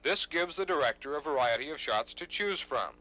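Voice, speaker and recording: Bright-voiced man narrating an instructional tape. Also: on the high side, lively intonation, a little fast, poor audio. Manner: formal, precise, instructional. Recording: 1970s instructional videotape narration.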